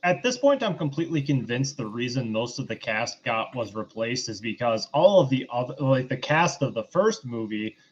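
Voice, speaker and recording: A man's voice: mid-range voice, very animated, normal speed, hardly any pauses, breathy edge. A man co-hosting a casual recap and commentary in a sardonic way, on slightly dull lo-fi audio. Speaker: podcast co-host recapping films.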